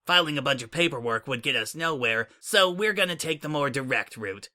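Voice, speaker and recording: A man's voice: medium pitch, expressive, a little fast, pushed and bright. A man voicing a brash, smug female pony spy in a fan audiobook reading, speaking in a flippant, mocking way.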